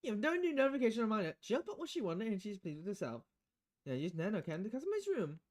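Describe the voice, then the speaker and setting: Female game streamer, casual read-aloud delivery, animated intonation. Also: medium pitch, fast talker. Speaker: game streamer reading visual novel dialogue aloud. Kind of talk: casual live-stream reading of game text.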